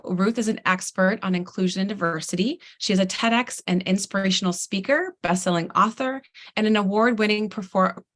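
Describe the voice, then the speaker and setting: A woman hosting an interview, her voice slightly raspy and strained as if starting to go. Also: medium pitch, a bit bright. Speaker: podcast host. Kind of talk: warm-up introduction and interview chat.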